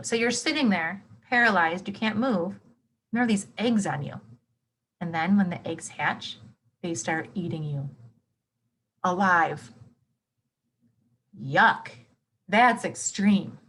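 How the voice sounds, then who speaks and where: A woman's voice: fairly low pitch, fairly bright tone, a little hiss or hum. A woman reading a nonfiction book aloud to children, expressively, with simple explanations and reactions as a teacher would.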